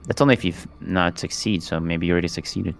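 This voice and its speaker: Deep creaky-voiced man at a game table. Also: fairly even intonation, fast, soft background music. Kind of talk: casual chat.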